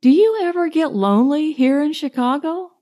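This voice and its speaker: A woman playing a friendly, lonely young city woman with a soft, quiet, wistful voice in a short story. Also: high-pitched, animated, moderate pace, pitched above the performer's own voice. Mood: tentative, friendly.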